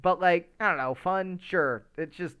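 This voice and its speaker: A man's voice: sits high, unhurried, a bit bright, clean tone. A man co-hosting a podcast in casual discussion, rambling and often stammering over repeated words as he works through his thoughts. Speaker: podcast co-host. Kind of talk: casual movie discussion chat.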